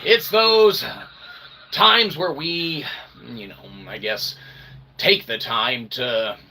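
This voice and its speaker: A man's voice: a little high, very slow, pushed and bright, a little hiss or hum, low-quality recording. A man hosting a rambling comedic livestream monologue like a mock-grand showman, often tagging phrases with "okay". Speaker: show host.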